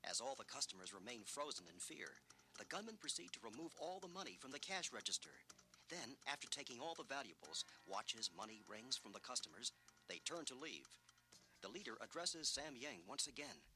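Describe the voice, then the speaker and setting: A man narrates an eighties action story record in a theatrical, suspenseful way. His mid-pitched voice moves in lively swings, with music clearly playing behind him. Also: a little fast. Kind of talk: dramatic scripted story narration for a 1980s record.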